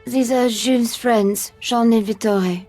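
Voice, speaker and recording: A woman's voice: fairly high pitch, steady tone, measured pace, warm tone, faint music behind, close to an everyday voice. A woman playing a sombre, earnest young woman in a video game. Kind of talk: dramatic video game acting.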